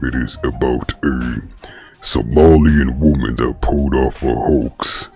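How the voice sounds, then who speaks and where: A man hosting a commentary vlog, speaking in a slow, deliberate, even way. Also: extremely low pitch, husky. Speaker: commentary channel host.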